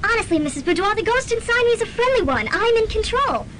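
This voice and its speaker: A woman's voice: very animated, fast talker, a bit bright, heavily acted. An actress playing a sweet, polite, girlish young woman who is cheerfully ruthless. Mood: earnest. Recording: faintly noisy student radio recording, rumble.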